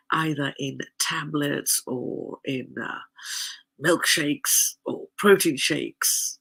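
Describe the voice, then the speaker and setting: A woman's voice: low voice, vocal fry, a bit bright, husky. A woman hosting a podcast in a casual solo talk, with a nasal voice and a chatty, rambling manner full of fillers. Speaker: podcast host.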